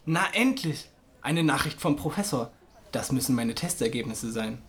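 A man's voice: medium pitch, expressive, moderate pace, natural voice. A man playing an earnest, eager schoolboy son in a radio play, explaining things in a matter-of-fact way. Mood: proud, eager. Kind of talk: German radio play acting.

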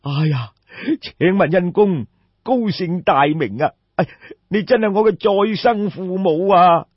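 A man voicing a destitute old peasant in an audiobook story, sorrowful and respectful, with sighing, plaintive, drawn-out emphatic phrases. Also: sits high, breathy edge, no echo, pitched above the performer's own voice. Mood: grateful, moved. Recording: dull, muffled lo-fi audio.

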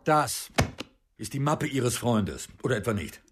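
Husky, formal male police inspector. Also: medium pitch, very animated. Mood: grave, official. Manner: formal, measured, probing. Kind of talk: radio crime drama dialogue.

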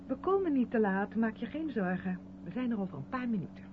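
Actress for assured, earnest woman theatre director, high and animated, 1970s radio drama with clear background noise. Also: brisk, natural voice. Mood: matter-of-fact. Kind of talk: 1970s Dutch radio play acting. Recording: muffled, noisy old radio recording.

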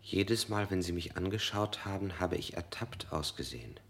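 An actor playing an anxious male suspect in a radio play, narrating in a measured, introspective way. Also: deep voice, expressive, fairly bright tone, slight background noise. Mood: uneasy. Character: anxious, guilt-ridden male suspect under interrogation. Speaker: first-person narrator.

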